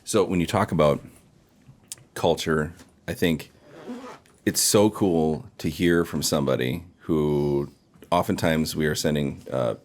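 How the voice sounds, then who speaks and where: A man's voice: extremely low pitch, measured pace, long pauses, slight background noise. An American man hosting a casual podcast, talking in an informal, slangy, enthusiastic way. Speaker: podcast host.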